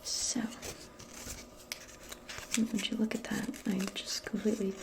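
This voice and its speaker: A woman speaking softly and breathily, often near a whisper, in a gentle, casual ASMR role play aimed closely at the listener. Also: medium pitch, little pitch movement, measured pace, vocal fry. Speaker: ASMR performer role-playing as a modern witch. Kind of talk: soft-spoken ASMR role play, personal attention.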